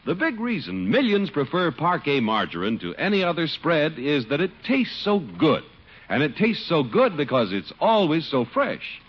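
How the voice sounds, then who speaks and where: A man announcing a 1950s radio show and reading its sponsor pitch in the period announcing style. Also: huge pitch swings, normal speed, slightly rough. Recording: muffled old radio broadcast recording.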